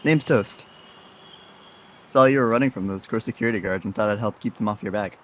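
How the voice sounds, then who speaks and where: A man's voice: fairly low pitch, a little fast, fairly bright tone, slight background noise, natural voice. A man playing a flippant, helpful young faceless stranger in an audio drama, talking in a casual, joking way. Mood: wry, friendly. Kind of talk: audio drama character acting.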